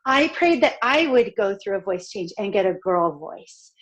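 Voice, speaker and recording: A middle-aged woman from Canada with a raspy, crackly voice, talking in an outgoing, expressive way as a podcast guest. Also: medium pitch, moderate pace. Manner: outgoing, expressive, laughs often. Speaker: podcast guest, speaker and author. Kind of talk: upbeat conversational interview.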